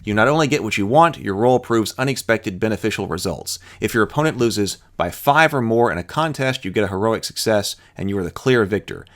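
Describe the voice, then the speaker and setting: A man's voice: fairly low pitch, animated, fairly quick, few pauses, a bit bright. A man hosting a tabletop roleplaying lesson, reading rules aloud step by step with informal thinking-aloud asides.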